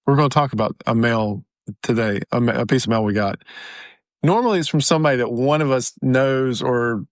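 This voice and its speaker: A man's voice: mid-range voice, fairly quick, no room sound, studio quality. Easygoing male co-host with mid-range voice. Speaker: podcast co-host. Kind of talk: casual chat.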